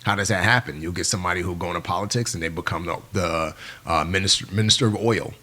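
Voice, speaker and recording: A Nigerian-born man in his forties, a Yoruba native speaker, guests on a podcast and tells a long story with animated energy, voicing the people in it. His voice sits low yet has a bright, forceful edge. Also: fast. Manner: animated storyteller who acts out quoted people. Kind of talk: storytelling in a long-form interview.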